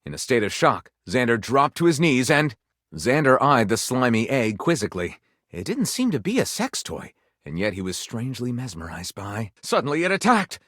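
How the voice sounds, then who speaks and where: A man's voice: medium pitch, sing-song, moderate pace, fairly bright tone, dry recording, studio quality. A man playing a theatrical, salacious game storyteller, narrating absurd melodrama in a grandiose, suggestive manner. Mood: melodramatic, gleeful. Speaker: game character voice actor. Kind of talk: dramatic scripted narration.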